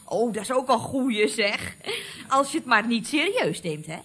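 Bright, husky, chatty secretary. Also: female voice, a little high, sing-song, a little slow, heavily acted. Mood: chatty, knowing. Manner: chatty, confiding, mock-soothing. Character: chatty, knowing female office secretary at a building firm. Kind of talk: 1970s Dutch radio comedy acting.

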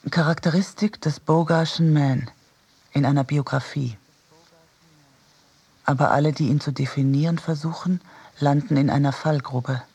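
A man reading literary radio prose in a measured, reflective manner. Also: on the high side, fairly even intonation, darkish tone, husky. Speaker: narrator.

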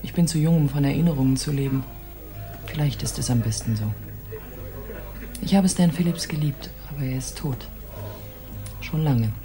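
An actress playing a composed, melancholy young society wife in a radio play, polite and resigned in manner. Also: female voice, rumbling low, normal speed, long pauses, dark tone, faint music behind. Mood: sad, resigned. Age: young adult. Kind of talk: German radio play acting.

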